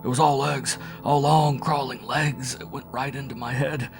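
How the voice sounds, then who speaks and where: A man's voice: medium pitch, fairly even intonation, moderate pace, creaky, music in the background, pitched above the performer's own voice, natural voice. A man voicing a delirious, terrified young man who sobs and cries out wildly. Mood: raving. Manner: sobbing, crying out wildly.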